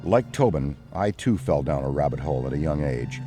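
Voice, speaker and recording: Florid, scholarly ghost hunter. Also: male voice, a bass voice, lively intonation, a little fast, over music. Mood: reverent storytelling. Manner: pedantic, florid, theatrical. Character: wordy, scholarly occult-obsessed male ghost hunter. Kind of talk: animated game cutscene acting.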